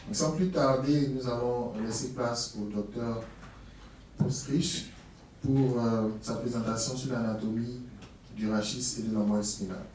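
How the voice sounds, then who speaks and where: Moderator voice in an echoey, distant hall. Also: male voice, medium pitch, steady tone, moderate pace, a little mellow. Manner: hesitant, with many fillers. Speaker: course moderator. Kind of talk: introducing a conference program. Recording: distant mic in an echoey hall with faint noise.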